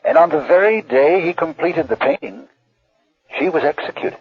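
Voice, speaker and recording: An actor playing a nervous, polite art auction dealer in a radio drama, hesitant and stammering. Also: male voice, a little high, lively intonation, normal speed, breathy edge. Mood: storytelling. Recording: dull, dry old radio drama audio.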